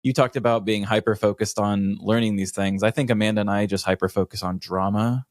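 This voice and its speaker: A man's voice: lowish voice, fairly even intonation, a little fast, very noisy. A man co-hosting a podcast, chatting casually in a self-deprecating, chatty way. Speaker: podcast co-host.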